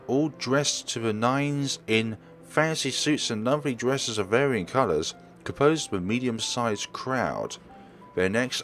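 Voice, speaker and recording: A man reading a visual novel aloud as a let's player, narrating expressively and sometimes stumbling and correcting himself. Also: on the low side.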